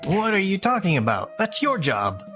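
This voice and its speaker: A man's voice: high-pitched, wildly swooping pitch, normal speed, sharp tone, soft background music, exaggerated voice. A man playing a selfish, dismissive young husband in a scripted comic dub. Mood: indignant.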